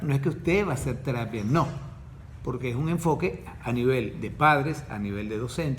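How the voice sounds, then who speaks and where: A man's voice: medium pitch, a little hiss or hum. A man, a course facilitator explaining things in a podcast conversation, often checking in with "ok?". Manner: didactic, repeats himself, checks in with "¿ok?". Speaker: diploma course facilitator teaching the nervous system.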